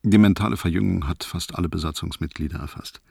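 Sci-fi radio drama: a solemn ship's commander, very low and husky, authoritative, dry studio sound. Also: male voice, quick pace, darkish tone, no echo, heavily acted. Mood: measured, solemn. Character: grave spaceship commander reporting on a doomed crew. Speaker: actor. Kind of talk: German science-fiction radio drama.

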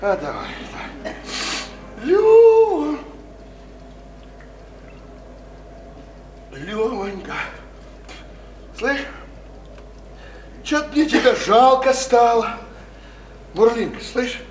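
A man's voice: very high-pitched, big pitch swings, slow pace, long pauses, darkish tone, heavily acted. An actor playing a crude, bullying married working-class man in a Russian radio play, coarse and slangy, jeering and repeating himself insistently. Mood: coaxing, needling. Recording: roomy, slightly noisy stage-like radio recording.

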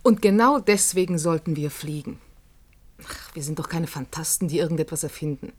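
An actress in a German science fiction radio play, playing a sceptical, outspoken companion with a husky voice and insistent manner. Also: female voice, sits low, brisk, a little mellow. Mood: sardonic. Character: sceptical, outspoken woman, companion in a risky search.

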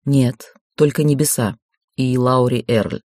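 A man voicing a calm, commanding yet tender raven lord in an audiobook, speaking in a hushed, soothing, measured way. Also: medium pitch, no echo, studio quality, pitched below the performer's own voice. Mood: assured.

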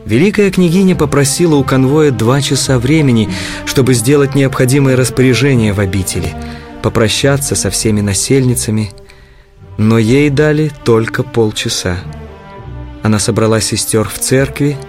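A man narrating a historical audio play from a script in a solemn, measured manner. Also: mid-range voice, music in the background.

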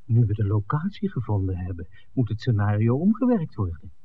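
An actor playing an eager, easygoing filmmaker with an eye for women in a 1960s Dutch radio drama. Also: male voice, on the low side, sing-song, brisk, a little hiss or hum. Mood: friendly, keen. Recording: muffled, narrow old radio recording.

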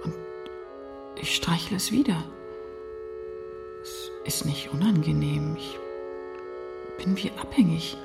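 An actress playing a dreamy, easily alarmed patient in a radio crime drama, speaking haltingly and intimately. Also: female voice, low-pitched, vocal fry, over music, full character voice. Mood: dreamy, captivated.

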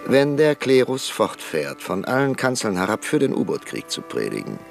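An actor playing an old, cautious parliamentarian professor in a radio drama, formal and hesitant with frequent pauses. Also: male voice, mid-range voice, wildly swooping pitch, gravelly, faint music behind. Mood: earnest. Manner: formal, hesitant with ähs, pleading. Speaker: parliamentary deputy.

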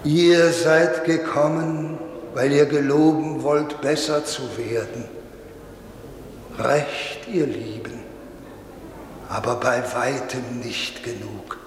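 A solemn old priest played by a male actor preaches sternly and extremely slowly, with weighty pauses. It is an old radio drama recording, noisy, with a long hall reverb around the voice. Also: medium pitch, steady tone, lightly acted. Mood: solemn admonishing. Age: older. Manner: measured, declamatory, rhetorical pauses. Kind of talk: 1950s German radio drama sermon. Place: large reverberant space.

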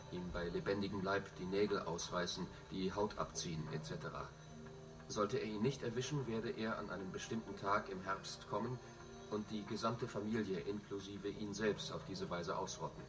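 An actor playing a sober television news reporter in a radio drama, formal and factual. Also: male voice, on the low side, close to an everyday voice. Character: sober male television news reporter reciting grim details. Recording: distant television-like sound over music.

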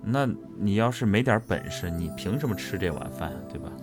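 Man, host from Henan, lively pitch swings, casual emphatic chat, repeats himself, music behind. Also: brisk. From Henan, China. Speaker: host and voice actor. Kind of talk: casual chat commenting on an audio drama. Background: audio drama and music playing.

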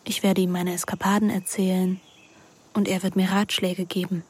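A woman's voice: fairly low pitch, little pitch movement, moderate pace, a little mellow, breathy edge. An actress playing a restless, detached young woman who narrates and speaks in a radio drama in a composed, reflective way. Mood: wistful. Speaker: lead actress and first-person narrator.

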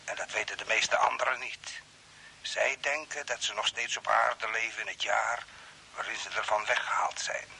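A mysterious Earthman stranded on Mars in an old radio play, with a very sharp, forceful voice and gravelly edge. He explains things in a measured, formal, insistent way, over faint hiss. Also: lowish voice, fairly even intonation, full character voice. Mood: calm, knowing. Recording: hissy old radio broadcast, faint noise.

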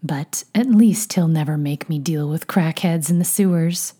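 A woman narrator puts on a dignified male master for a fan fiction audiobook. The voice sits low and dry. He sounds evasive and embarrassed, halting when cornered. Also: mellow, husky.

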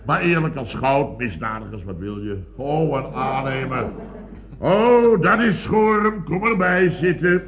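An actor playing a blustering, boastful building contractor boss in a radio comedy, telling stories in a rambling, exclamatory, repetitive way. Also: male voice, a little high, lively intonation, measured pace, a crowd in the background. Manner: rambling, repetitive, exclamatory storytelling with tag questions. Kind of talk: 1970s radio comedy acting. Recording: dull, lo-fi old radio recording.

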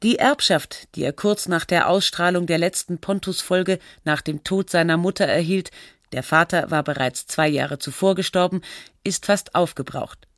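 A woman narrating a radio feature in a measured, factual and articulate scripted style. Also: lowish voice, no room sound, studio quality, lightly acted.

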